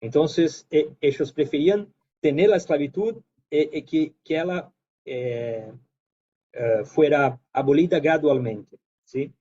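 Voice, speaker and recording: Brazilian-accented man, native Portuguese speaker, guest lecturer, halting and full of fillers, mellow tone, slightly muffled audio. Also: a little high, a little hiss or hum. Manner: hesitant, many fillers and restarts. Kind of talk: academic presentation.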